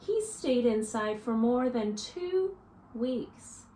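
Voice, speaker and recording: A woman in her thirties reads and explains a picture book to young children like a teacher. Her voice is fairly high and very animated, with slow, deliberate phrasing. It is recorded indoors with faint ambient noise behind her. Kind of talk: expressive picture-book read-aloud and simple lesson for children. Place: small living room, indoors.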